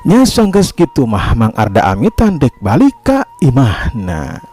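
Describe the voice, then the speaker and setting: A man's voice: medium pitch, huge pitch swings, unhurried, mellow, slightly rough. A man with a Sundanese accent narrating a folk tale as a theatrical storyteller, using dramatic vocal effects.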